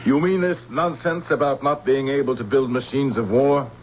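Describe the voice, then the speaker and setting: An actor playing a stern, authoritarian general with a curt manner in a radio drama. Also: male voice, medium pitch, normal speed, dull audio, lightly acted. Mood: stern, indignant. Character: stern, overbearing male general. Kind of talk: 1970s radio drama acting. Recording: slightly noisy, band-limited old radio.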